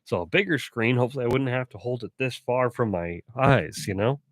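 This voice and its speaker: A man's voice: medium pitch, lively intonation, fairly quick, no room sound, studio quality. A man streaming live in casual chat, rambling and self-correcting, a bit stuffy and sore-voiced.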